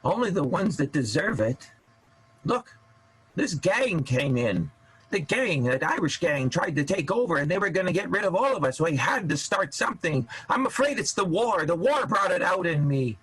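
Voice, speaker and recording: Wounded vaudeville fugitive played by a man, high husky voice, plaintive melodrama, radio soap over music. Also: big pitch swings, normal speed, fairly bright tone, exaggerated voice, poor audio. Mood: grimly justifying. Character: wounded, desperate vaudeville outlaw hiding in a furnace room. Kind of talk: improvised radio soap opera acting.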